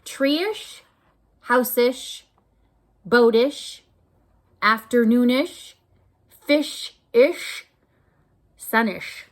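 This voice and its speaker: A woman teacher reading a picture book aloud expressively, pausing to put gentle, thoughtful questions to her young listeners. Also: a little high. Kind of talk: expressive children's read-aloud with asides to listeners.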